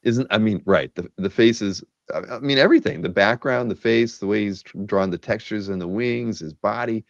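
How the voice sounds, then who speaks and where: A man hosting a casual video-call chat about art, rambling and enthusiastic with lots of fillers, a bit hoarse from a cold. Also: lowish voice, brisk, hardly any pauses, dry recording, lo-fi sound. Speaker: podcast host. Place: home office, video call.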